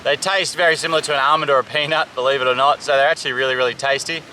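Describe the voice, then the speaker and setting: Young male survival vlogger from Samoa, upbeat explainer, bright tone, outdoor beach with faint surf. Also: fairly high pitch, fairly even intonation, very fast, slight background noise, low-quality recording. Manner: upbeat, enthusiastic tour-guide talk. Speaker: survival vlog host. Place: outdoors on a tropical island beach.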